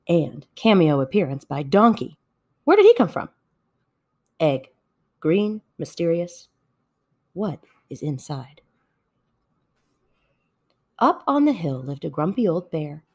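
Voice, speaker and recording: A woman reading a children's story aloud in an expressive narrating style. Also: medium pitch. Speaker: storybook reader.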